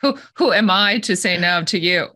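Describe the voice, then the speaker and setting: A woman's voice: fairly low pitch, expressive, normal speed, pushed and bright, dry recording, clean studio sound. A woman with a German accent, a business coach answering interview questions in a direct, straightforward way and explaining with everyday examples.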